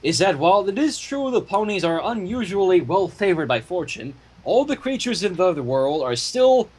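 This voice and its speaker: A man reading a fan fiction story aloud as a dramatic, theatrical narration with deliberate delivery. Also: high voice, big pitch swings, a little mellow, slightly rough.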